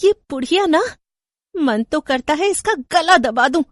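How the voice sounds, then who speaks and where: Woman as young daughter-in-law, excitable, exclaiming, very high pitch, horror storybook, dry recording. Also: a little slow, no echo. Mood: resentful. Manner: exclaiming, emotive.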